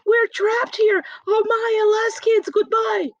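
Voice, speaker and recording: A woman's voice: extremely high pitch, little pitch movement, measured pace, voice pushed up. A woman voicing a strict, melodramatic female schoolteacher in a storybook, with emphatic delivery. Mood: panicked. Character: stern, disapproving woman schoolteacher, melodramatic when frightened. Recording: roomy, muffled home recording.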